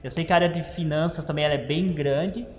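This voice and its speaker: A man with a higher voice hosts a career interview. He probes and sums up his guest's points. The room is echoey with a long reverb tail, and faint music plays underneath. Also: steady tone, fast. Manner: probing, summarizes the guest's points. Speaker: career coach host.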